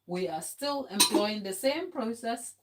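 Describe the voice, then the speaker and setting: A woman's voice: medium pitch, animated, unhurried, heavy background noise. A middle-aged woman with a Ghanaian accent, a non-native English speaker, giving a casual, explanatory live tutorial and chatting with viewers. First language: not English. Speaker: cake decorating instructor. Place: home kitchen, indoors.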